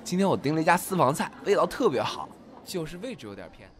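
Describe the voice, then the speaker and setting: A man playing an excitable, fussy young friend in an audio drama. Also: on the high side, fast talker. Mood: friendly. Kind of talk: Chinese audio drama acting.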